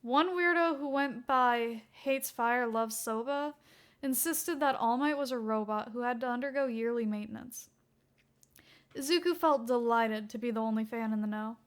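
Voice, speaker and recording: A woman's voice: a little high, normal speed. A woman reading a scripted story aloud as a fan fiction narrator.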